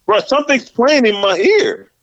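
A man on a casual podcast chat, animated and excitable as he talks. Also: very high voice, moderate pace, highs cut off.

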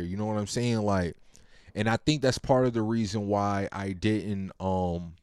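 A man's voice: lowish voice, a little mellow, no room sound, studio quality. Laid-back young man rambling on a podcast. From Virginia. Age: 20s. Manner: laid-back, rambling, heavy slang and swearing. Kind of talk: casual personal monologue.

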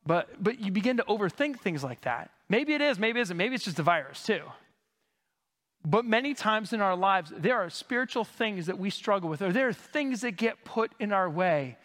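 A man's voice: high voice, expressive, moderate pace, a bit bright. A male pastor preaching a teaching sermon in a church, explaining Greek words in a conversational way while he keeps coughing. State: coughing, recovering from lost voice. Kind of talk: expository sermon. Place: church sanctuary. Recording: long hall reverb.

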